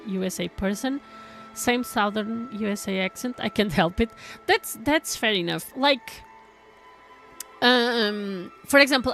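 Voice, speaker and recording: A woman's voice: mid-range voice, a bit bright. A woman from Portugal, chatting casually with her stream viewers in a rambling way, full of fillers and restarts.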